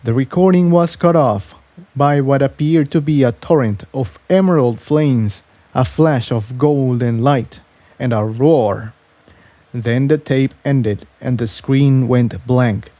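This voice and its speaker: A man's voice: mid-range voice, slow pace, dark tone, no echo. A man reading a scripted story aloud as a narrator. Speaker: audiobook narrator.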